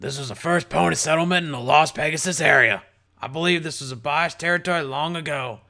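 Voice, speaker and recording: Brief: man doing a gravelly, bright-edged old ghoul stallion, chummy barkeep. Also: on the high side, brisk. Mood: friendly. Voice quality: gravelly, chummy, calls everyone mate. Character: gravelly old male ghoul pony bartender, jovial. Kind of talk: audiobook character voice.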